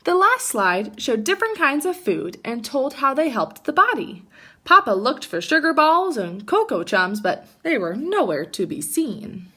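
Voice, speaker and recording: A woman teacher reads a storybook to young children with a very lively, swinging delivery. Her voice is fairly high and bright, and she addresses the children directly with clear emphasis. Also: a little slow. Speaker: teacher reading a picture book to children. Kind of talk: expressive children's storybook read-aloud with asides.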